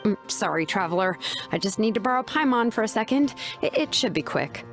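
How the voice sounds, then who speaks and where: A woman's voice: medium pitch, lively intonation, fairly quick, faint music behind, natural voice. A woman playing a laid-back, sincere young craftswoman in an anime game, speaking in a casual, frank way with slight hesitation. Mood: reassuring.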